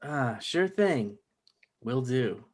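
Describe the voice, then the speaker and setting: A man plays a petty, struggling male writer in a comedy table read. He is defensive and hesitant, speaking at a middling pitch. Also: very animated, very slow, heavily acted.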